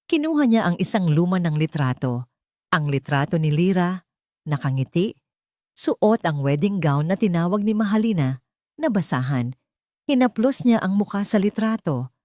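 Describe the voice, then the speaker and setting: Female storyteller, lower register, dry close sound, for dramatic scripted audio fiction. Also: a little mellow, no echo. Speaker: storyteller, narrator.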